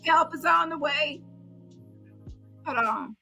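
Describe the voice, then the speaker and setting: A woman's voice: high voice, takes it slowly, gravelly, sharp tone, soft background music. A woman hosting a casual live gossip call-in, talking emphatically in blunt, salty slang and repeating phrases for effect. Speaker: host of a live call-in show.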